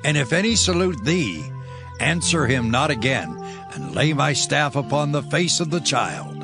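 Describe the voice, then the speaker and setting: Solemn prophet, authoritative, declamatory, lively intonation, music clearly behind. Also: male voice, medium pitch, a little slow. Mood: commanding, solemn. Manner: declamatory, solemn, archaic phrasing. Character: commanding male prophet, authoritative and assured. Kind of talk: dramatized scripture acting.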